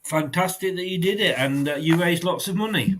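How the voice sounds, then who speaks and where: A man's voice: high voice, brisk, a bit bright, slight background noise. Upbeat jokey man hosting. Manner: jokey and upbeat, laughs often. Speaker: host. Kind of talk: casual interview.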